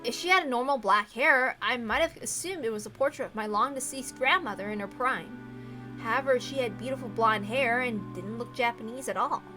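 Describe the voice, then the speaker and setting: A woman reading a visual novel aloud as expressive scripted narration. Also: fairly high pitch, normal speed. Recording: music playing behind the voice. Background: background music.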